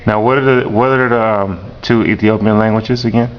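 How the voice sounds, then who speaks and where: A man, a teacher, interviewing a student slowly and repeating each answer back. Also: steady tone. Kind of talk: classroom interview. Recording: dull lo-fi recording with rumble.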